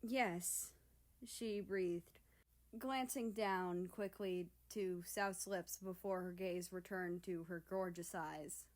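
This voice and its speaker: A woman's voice: lowish voice, fairly even intonation, slow pace, a little mellow. A woman narrating scripted fiction as a story reader.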